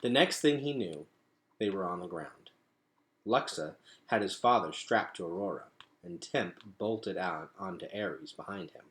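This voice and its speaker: A man's voice: low-pitched, lively intonation, unhurried, a bit bright. A man reading a storybook aloud as a storyteller, with dramatic, emphatic phrasing.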